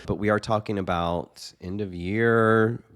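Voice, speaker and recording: A man's voice: on the low side, steady tone, measured pace. A middle-aged man co-hosting a podcast, chatting in an easygoing, friendly way. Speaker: podcast co-host. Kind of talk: casual chat.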